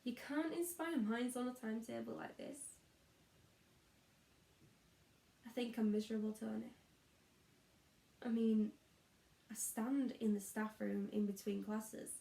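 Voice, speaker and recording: A woman's voice: on the high side, normal speed, gravelly, long pauses, warm tone. A woman playing a weary, disillusioned young schoolteacher in an introspective, confiding stage monologue. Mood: weary, overwhelmed, then bitter. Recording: echoey room.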